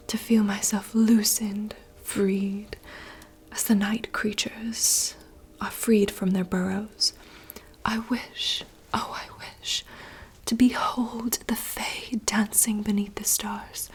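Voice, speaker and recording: Lady whispering as a dreamy young princess, flat slow hush, bit of fry. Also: mid-range voice, exaggerated voice. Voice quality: soft unvoiced whisper. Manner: poetic, yearning, slow. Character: wistful young princess longing for wild woods. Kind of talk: whispered monologue reading.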